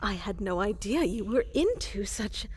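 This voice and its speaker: A woman's voice: medium pitch, animated, moderate pace, a little mellow, slightly rough. A woman playing a fluffy, woolly llama-like creature in a fantasy roleplay, eager to serve as an evil advisor, speaking with flustered, hesitant politeness. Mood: nervous, bashful. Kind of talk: roleplay ASMR character acting. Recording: long reverberant hall echo.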